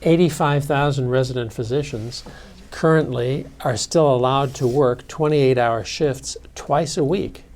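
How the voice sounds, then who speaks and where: A man's voice: medium pitch, a little slow, a little mellow. A man speaking on a panel discussion as a researcher, earnest and citing study findings. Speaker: sleep researcher.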